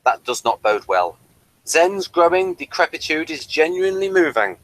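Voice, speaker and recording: Guy reviewing tv, dry and droll, slightly high lively voice, very close dry sound. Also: no echo, poor audio. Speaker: podcast host and reviewer. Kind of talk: wry reading of episode review notes.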